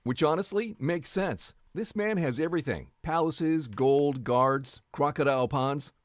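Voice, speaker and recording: A man's voice: on the high side, measured pace, dry recording, studio quality. A man narrating a story video in the second person, with a wry, jokey delivery.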